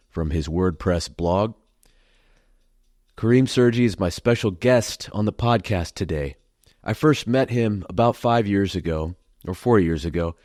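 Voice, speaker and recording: A man hosting a podcast, reading a written intro and then asking his guest questions in a measured, earnest way. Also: deep voice, darkish tone, no room sound, clean studio sound. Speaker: podcast host. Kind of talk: scripted intro and interview questions.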